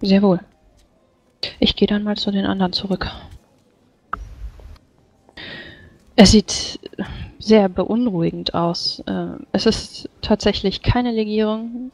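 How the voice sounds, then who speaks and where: A woman playing a composed, dutiful mage in a tabletop game, speaking formally and courteously with measured phrasing. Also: mid-range voice, steady tone, darkish tone, low-quality recording. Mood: sober, reporting. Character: composed, dutiful female mage of a fantasy order. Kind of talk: tabletop roleplay in character.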